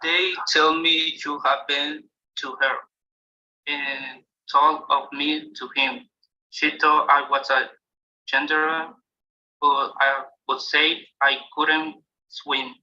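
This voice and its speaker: A man from El Salvador, a student reading a passage aloud carefully and haltingly on a call. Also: a little high, little pitch movement, bright, forceful tone, dull audio, slight background noise. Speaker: student guest.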